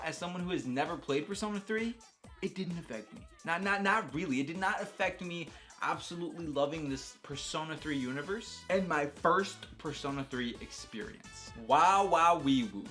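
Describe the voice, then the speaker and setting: A man giving casual, informal commentary on a video game as a reviewer, animated and given to rambling asides. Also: a little high.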